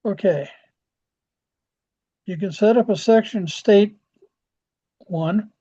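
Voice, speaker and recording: An American man giving an informal software walkthrough as a club trainer, halting and often trailing off as he rambles along. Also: sits high, slightly rough. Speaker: presenter training radio club members. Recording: dull, lo-fi screen-share audio.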